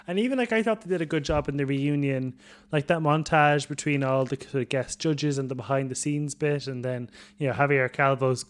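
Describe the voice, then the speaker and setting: A man's voice: on the high side, fairly quick. A man co-hosting a podcast, chatting in a reflective, rambling way. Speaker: podcast co-host. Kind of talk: casual chat.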